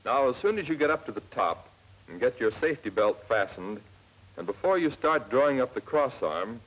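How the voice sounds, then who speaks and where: An American man narrating a 1940s military instructional film in a plain, measured, step-by-step manner, on an old film soundtrack. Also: mid-range voice, animated, poor audio. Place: scripted voice-over for film.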